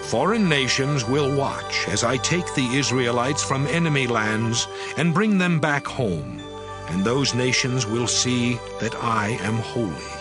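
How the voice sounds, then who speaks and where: A man performs the Lord God in a scripture audio drama. The voice is stern and solemn, declaimed in measured phrases with wide swings in pitch. Prominent music plays underneath. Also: expressive, lightly acted. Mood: solemn, merciful. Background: music behind the voice.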